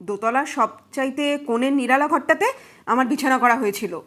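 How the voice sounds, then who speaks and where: A woman's voice: high-pitched, moderate pace, fairly bright tone. A woman voicing a shaken young man in an audio story, earnestly recounting a frightening night in a dramatic, suspenseful way. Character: shaken young brother-in-law recounting a ghostly night visitor.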